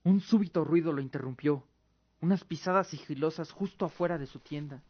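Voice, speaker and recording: A man's voice: fairly high pitch, brisk. A man narrating a scripted fantasy radio drama as a dramatic storyteller. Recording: dull lo-fi with music underneath. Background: music.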